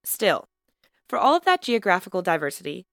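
A woman narrating a student podcast episode in a measured, lightly wry scripted style. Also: on the low side, big pitch swings, vocal fry, no room sound, clean studio sound. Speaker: podcast host and student reporter.

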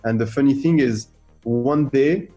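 Radio guest: middle-aged French-accented man, mellow and lively, fond of rhetorical questions. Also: mid-range voice, a little slow, faint music behind. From France. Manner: animated, fires rhetorical questions, acts out quoted voices. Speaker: guest artist. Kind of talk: radio interview.

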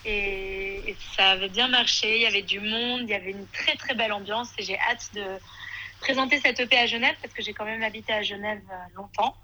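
A woman's voice: mid-range voice, steady tone, brisk, bright, forceful tone. A woman from Switzerland, a singer chatting casually and enthusiastically as a guest over an internet call. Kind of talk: casual chat. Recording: noisy remote call.

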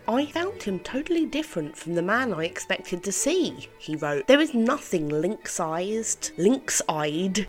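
A woman with a slight head cold, a bit nasal, hosting an educational literature podcast in a chatty, opinionated way with asides. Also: mid-range voice. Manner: chatty and opinionated, reads quotes aloud with asides. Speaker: podcast host.

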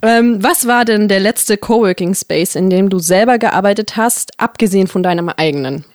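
A woman's voice: medium pitch, moderate pace, dry recording. A woman hosting a podcast who asks guests questions in a friendly, chatty way. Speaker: podcast host. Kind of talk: casual interview questions.

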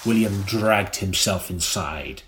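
A man narrating an audiobook in a theatrical, melodramatic style, with dramatic pauses. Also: deep voice, background noise.

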